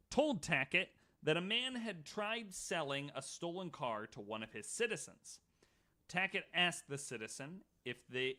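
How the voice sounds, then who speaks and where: A man's voice: medium pitch, sharp tone. An American man co-hosting a podcast, telling a story from notes with casual banter. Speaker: podcast co-host.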